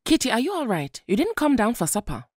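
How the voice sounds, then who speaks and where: A woman playing a calm, motherly heroine in an audio drama, soothing and measured, firm but kind. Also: a little high, dry recording, clean studio sound, soft background music.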